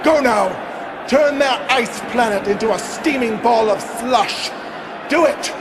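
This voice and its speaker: An actor playing a sadistic, vengeful warlord in a game cutscene, commanding and theatrical. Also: male voice, very high-pitched, slow, crowd noise, noisy background. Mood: commanding, savage. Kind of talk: video game cutscene acting.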